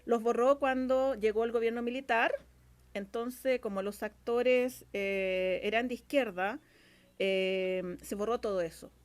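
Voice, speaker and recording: A woman's voice: fairly high pitch, fairly even intonation, a little slow. A woman with a Chilean accent speaking as a guest in a casual interview, explaining hesitantly and repeating phrases for emphasis. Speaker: guest, radio drama scriptwriter.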